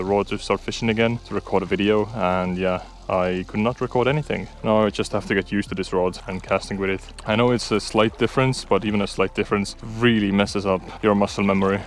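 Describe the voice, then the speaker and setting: A young man, chatting candidly to viewers as he fishes along a riverbank, recorded on a body-worn action camera outdoors. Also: lowish voice. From Estonia. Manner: chatty and candid, talks to viewers while fishing. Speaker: fishing vlogger. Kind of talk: casual outdoor vlog chat.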